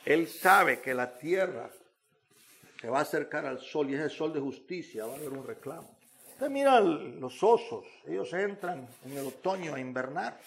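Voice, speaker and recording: A man's voice: fairly high pitch, vocal fry, a bit bright. A man preaching a sermon in an emphatic, repetitive manner, with rhetorical questions and phrases.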